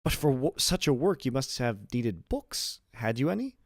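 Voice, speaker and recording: A man's voice: mid-range voice, very animated, normal speed, no echo, studio quality, pitched above the performer's own voice. A man voicing an earnest younger prisoner, formal and full of questions, in an audiobook reading. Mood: curious, amazed. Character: earnest, astonished younger male prisoner, eager to learn.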